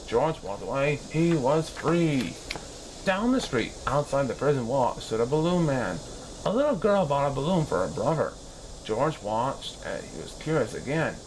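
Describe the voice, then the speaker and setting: A man reading a children's story aloud in an expressive, animated style with dramatic pauses. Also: mid-range voice, slight background noise, rough recording.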